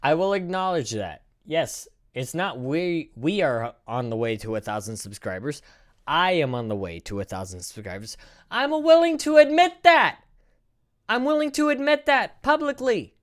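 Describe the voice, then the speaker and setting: A man in his thirties hosting a casual live stream, reacting in a rambling, profane, animated way. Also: on the high side, moderate pace. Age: 30s.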